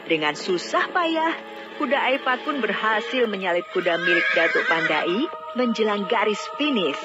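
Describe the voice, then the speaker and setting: A woman's voice: medium pitch, lively intonation, normal speed. A woman narrating a scripted fairy tale as a storyteller. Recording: dull lo-fi audio, music bed. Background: background music.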